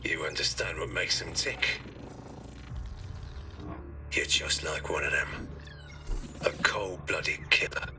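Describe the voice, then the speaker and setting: An actor playing a ruthless, scheming mercenary in a video game cutscene, with a clipped, commanding, menacing delivery. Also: male voice, extremely low pitch, steady tone, takes it slowly, pauses often, music in the background. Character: ruthless male scheming mercenary trader, British.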